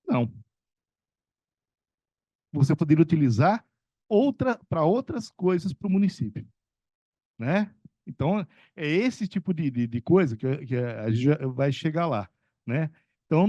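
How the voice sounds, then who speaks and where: A man's voice: on the high side, warm tone, no room sound. A middle-aged man from São Paulo state, an economics professor speaking at a panel discussion in a rambling, conversational way with many fillers and restarts. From São Paulo state, Brazil.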